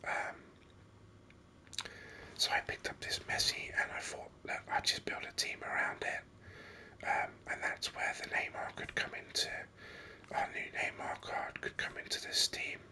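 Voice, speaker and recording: A gaming host speaking in an unvoiced whisper, giving unhurried, rambling ASMR game commentary. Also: bright, forceful tone.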